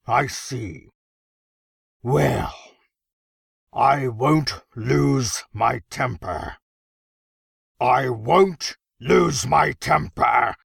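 A man's voice: mid-range voice, animated, creaky, fairly bright tone, husky, no room sound, studio quality, exaggerated voice. A man voicing an ugly, pompous gnome emperor who rants furiously and draws out his insults in a storybook reading. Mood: straining for control. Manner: bellowing, ranting, drawn-out insults.

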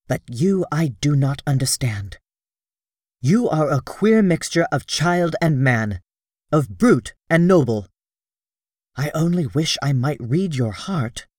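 A man reading an audiobook, voicing a proud, earnest young alien princess in formal, courtly speech with a slightly raised, softened voice. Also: fairly high pitch, lively intonation, slow, no echo, studio quality, pitched above the performer's own voice. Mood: tender. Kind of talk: audiobook character voice.

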